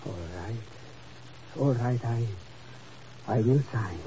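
An actor playing a flustered, cowardly communist official who stammers and pleads, in 1950s radio drama style. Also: male voice, mid-range voice, slow, mellow, natural voice. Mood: cornered, nervous. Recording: muffled, hissy old radio recording.

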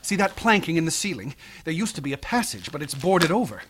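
Intense, high-voiced obsessive young male student. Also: very animated, brisk, a little hiss or hum. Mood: feverish, obsessed. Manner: intense, brooding, hushed at times. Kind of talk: radio drama acting.

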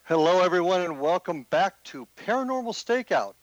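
A man's voice: high voice, very animated, normal speed, no room sound, faint music behind. A man hosting a radio talk show, speaking in a friendly way with fillers and restarts. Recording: dull lo-fi, dry sound.

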